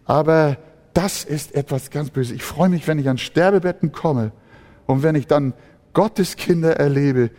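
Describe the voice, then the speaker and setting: German preacher in a reverberant hall. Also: male voice, on the high side, normal speed, warm tone. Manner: impassioned preaching, acts out complaining voices. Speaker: pastor. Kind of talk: sermon.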